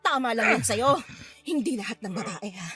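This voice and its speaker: A high-pitched, feisty Filipino mother in a radio drama. She scolds combatively, her voice swinging widely, with faint music behind her. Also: animated, normal speed, sharp tone. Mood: stern, defiant. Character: feisty, fed-up scavenger mother berating her drunkard partner.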